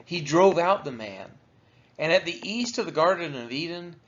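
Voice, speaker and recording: A man reading scripture aloud for a daily read-along, phrasing it deliberately in short units. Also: a little high, animated, fairly bright tone, dull audio. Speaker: Bible reader for a church's daily read-along.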